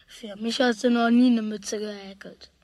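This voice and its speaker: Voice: mid-range voice, steady tone, moderate pace, a little mellow, no echo, lightly acted. An actor playing a stubborn, jealous schoolboy in a children's radio play, grumbling indignantly to himself. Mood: sulky. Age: child figure.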